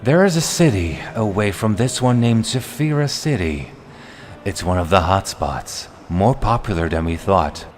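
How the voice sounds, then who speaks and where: Man playing deep-voiced, haughty refined vampire. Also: lively intonation, a little slow, a little hiss or hum. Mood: smug, wistful. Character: refined, haughty male vampire henchman, hungry for humans. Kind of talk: acted fantasy audio drama.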